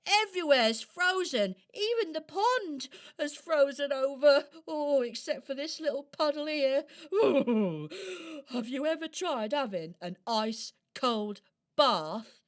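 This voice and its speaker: A shivering little robin with a grumbling, theatrical streak, performed by a woman. It complains in a high, exclamatory voice at an unhurried pace, in the style of a children's radio play. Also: animated. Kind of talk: children's radio play acting, partly sung.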